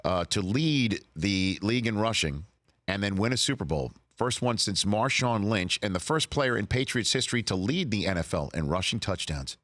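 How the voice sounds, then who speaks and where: Low-voiced man hosting a sports show. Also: expressive, normal speed, fairly bright tone, no echo. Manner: eager, repeats words and stammers into his questions. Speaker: sports talk show host. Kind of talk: radio interview.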